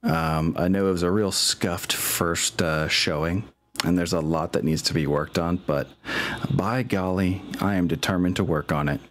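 A man's voice: deep voice, steady tone, normal speed. A man, middle-aged, chatting casually and a bit ramblingly as he wraps up an online tabletop game as game master.

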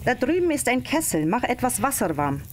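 Brusque old healer, lively pitch. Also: female voice, brisk, a little hiss or hum. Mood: brusque, commanding. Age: older. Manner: terse, commanding. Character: brusque old Moriori medicine woman, commanding, experienced. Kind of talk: German audio drama acting.

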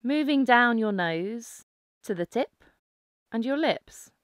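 A woman narrating a guided mindfulness meditation, giving calm, gentle instructions with pauses. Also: fairly high pitch, dry recording.

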